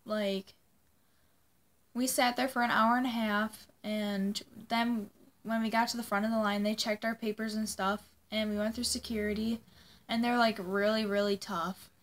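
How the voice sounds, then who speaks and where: A woman's voice: mid-range voice, little pitch movement, moderate pace. A young woman telling a personal story to viewers in a casual, informal way, often dropping "like" into her sentences. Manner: informal, peppers speech with "like". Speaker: vlogger.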